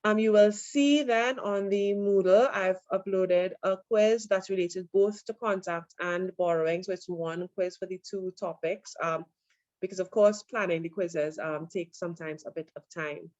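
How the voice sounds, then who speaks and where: A woman lecturer giving an online class, relaxed and full of fillers as she answers questions. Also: medium pitch, normal speed, no echo. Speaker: university lecturer. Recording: dull lo-fi online audio.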